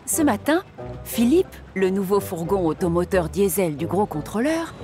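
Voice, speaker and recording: Woman narrator, children's story, animated intonation, music behind. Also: mid-range voice, moderate pace.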